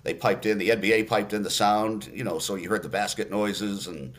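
An older man with a somewhat low voice talks in a relaxed interview. He's a radio play-by-play announcer who tells stories at an easy pace, circling back with fillers and restarts. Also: fairly quick. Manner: storytelling, many fillers and restarts.

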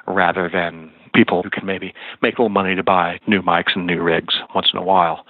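A man's voice: sits low, no room sound. A man explaining things as an expert interview guest, heard over a thin, phone-like connection. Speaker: guest, music and podcast lawyer.

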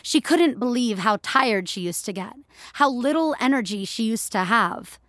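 Animated woman narrator, dry sound. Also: on the high side, normal speed, no room sound. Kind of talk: scripted fiction narration.

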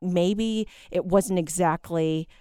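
A woman's voice: on the low side, slow, clean tone, dry recording, clean studio sound. A woman co-hosting a casual radio chat, reflective and speaking her mind. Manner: reflective, then animated when indignant.

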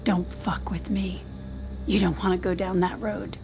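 A woman's voice: on the low side, flat delivery, unhurried, creaky, over music. An actress playing a guarded, bitter woman in a naturalistic audio drama, curt, profane and confrontational. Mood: cornered, hostile. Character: guarded, bitter, sharp-tongued woman with secrets.

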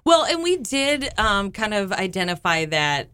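A woman's voice: mid-range voice, big pitch swings, a little slow, fairly bright tone, smooth, no echo. A woman co-hosting a casual podcast, laughing often and rambling earnestly. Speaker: podcast co-host. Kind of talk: casual chat.

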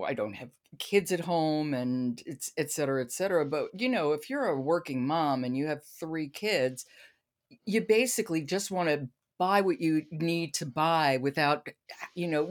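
An American woman in middle age with a low-pitched voice hosts a book podcast. She talks conversationally, as one does with a regular colleague. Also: normal speed. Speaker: podcast host.

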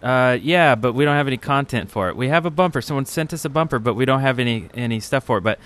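A man's voice: medium pitch, expressive, fast, fairly bright tone, smooth. Male co-host, mid-range voice, informal and playful banter. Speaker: podcast co-host. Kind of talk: casual chat.

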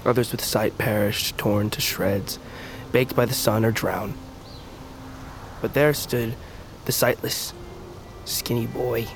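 A wounded, haunted blind brother, music behind. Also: male voice, medium pitch, fairly even intonation. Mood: bitter reminiscence. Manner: halting, reflective storytelling. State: wounded, weak, halting breath. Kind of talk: audio fiction drama acting. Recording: music bed under the dialogue.

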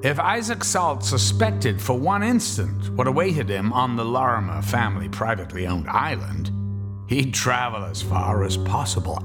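A man playing a knowing, theatrical storyteller, narrating a horror radio drama. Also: lowish voice. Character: ominous, knowing male storyteller of horror tales.

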